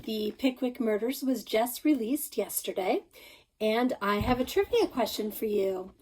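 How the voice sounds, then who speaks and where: Higher-voiced woman author posing a quiz. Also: animated, measured pace, darkish tone, slightly rough, rough recording. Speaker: mystery author. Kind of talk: friendly quiz question to viewers. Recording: faint background noise.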